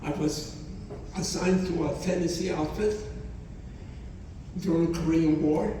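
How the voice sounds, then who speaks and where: Brief: elderly man in his 90s, non-native English, halting and repetitive, a mellow low voice picked up from far off in a boomy hall. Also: steady tone, a little hiss or hum. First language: not English. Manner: halting, repeats phrases, speaks with feeling. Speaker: Holocaust survivor speaker. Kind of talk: testimony to students. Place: college hall.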